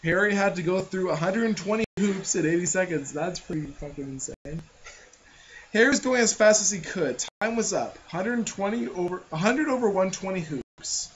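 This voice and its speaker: A high-voiced man trades crude wisecracks on a comedy podcast and stumbles through reading a story aloud. The audio is dull and lo-fi, with faint hiss behind him. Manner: crude wisecracking, reads haltingly. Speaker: co-host. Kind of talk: casual comedic podcast banter.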